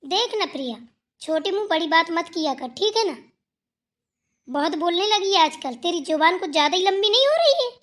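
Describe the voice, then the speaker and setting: A woman acts out a haughty, quarrelsome elder sister-in-law from a village family cartoon. The voice is piercingly high and bright, with a forceful edge. She scolds sarcastically and waves everything away with disdain. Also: lively intonation, moderate pace. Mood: indignant, mocking. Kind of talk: acted dialogue in Hindi family cartoon.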